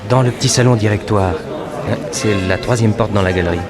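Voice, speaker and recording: Man as young detective sidekick telling the story, low voice, plain and streetwise, music behind him. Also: brisk, a crowd in the background, natural voice. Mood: wry. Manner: matter-of-fact, storytelling. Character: young male detective's assistant, streetwise, narrating the adventure. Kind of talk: early 1960s radio drama narration and dialogue. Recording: old radio production with music behind.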